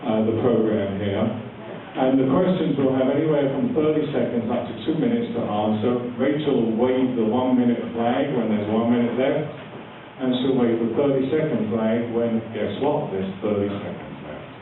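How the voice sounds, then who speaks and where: A man's voice: medium pitch, normal speed. A man moderating a candidates forum in a community hall, chatty and joking, with frequent ums and uhs. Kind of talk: live event emcee address. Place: community hall with a large audience. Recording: far-off, echoey, phone-like and noisy room recording.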